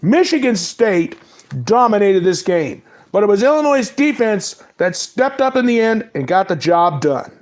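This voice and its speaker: Man with a cold, high voice, recapping sports. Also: sing-song. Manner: reads stats in quick lists, apologizes for mispronouncing names. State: has a cold. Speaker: sports talk host. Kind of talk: casual sports recap monologue.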